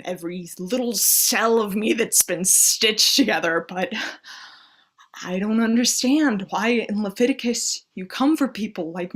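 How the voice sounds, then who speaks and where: An actress playing an anguished, devout woman who pleads with and challenges God in halting, impassioned speech, in a staged play reading. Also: medium pitch. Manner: halting, impassioned, swinging between hushed and pleading.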